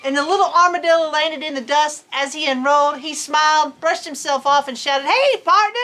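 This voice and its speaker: A woman's voice: sits high, fairly even intonation, fairly quick, sharp tone. A woman reading a storybook aloud in a folksy, playful way, with chatty asides.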